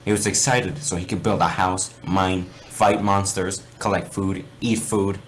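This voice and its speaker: A man's voice: low voice, level tone, a little slow. A man reading aloud and talking casually in an amateur, sweary way over a game voice chat. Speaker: fan fiction reader. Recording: noisy voice chat recording.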